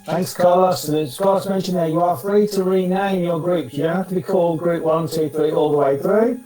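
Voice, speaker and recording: A man hosting an online event, introducing each coach in turn with brisk, upbeat remarks. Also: high voice, fairly even intonation, dark tone, echoey room, soft background music.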